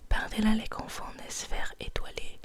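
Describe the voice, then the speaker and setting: A woman whispering softly in an ASMR style, reading and rambling in a hushed, unhurried, gentle way. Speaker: ASMR host.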